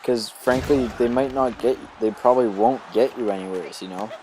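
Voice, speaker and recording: A man with a slightly hoarse voice from a cold, giving rambling, casual gameplay commentary full of ums and "you know" as the host of a gaming video. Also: medium pitch, normal speed. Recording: steady background noise under the voice.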